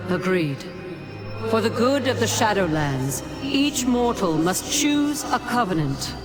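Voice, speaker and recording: An actress playing a commanding deity in a fantasy video game, grave, imperious and declamatory. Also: female voice, on the high side, very animated, slow pace, over loud music. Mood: decisive. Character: regal, commanding female deity of a fantasy afterlife. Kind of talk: video game cinematic acting.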